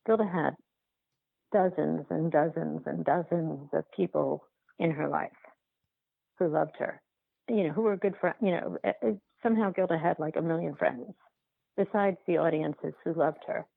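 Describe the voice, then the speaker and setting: A woman filmmaker answering interview questions in a halting, reflective way, often circling back to rephrase, over a phone-like line. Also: sits low, gravelly, breathy edge, dry recording. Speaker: documentary filmmaker being interviewed.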